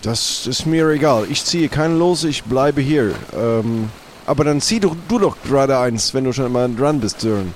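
A man's voice: medium pitch, lively intonation, normal speed. A man leading an improvised audio-play scene with casual, dry banter. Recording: faint background noise.

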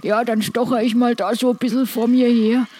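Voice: mid-range voice, little pitch movement, brisk, darkish tone, slight background noise, lightly acted. A person voicing an eager, naive, beer-drinking strongman sidekick with colloquial exclamations in an improvised role-play. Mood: excited. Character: Kalle, strong beer-drinking simple-hearted sidekick, uneasy but eager.

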